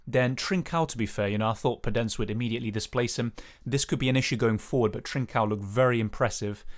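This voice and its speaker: A young man with a slightly raspy voice, casually answering chat questions on a live stream with lots of fillers. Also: medium pitch, fast talker. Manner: casual, hedging, many fillers. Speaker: fantasy football streamer.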